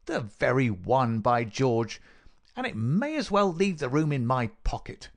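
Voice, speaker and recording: A man voicing a cruel, gloating murderer in an audiobook, sneering and mock-solicitous in a dry rasp. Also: medium pitch, huge pitch swings, measured pace, pitched above the performer's own voice. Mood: triumphant, venomous. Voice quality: dry, rasping. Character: gloating, cruel murderer taunting his dying victim. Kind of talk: dramatic audiobook character reading.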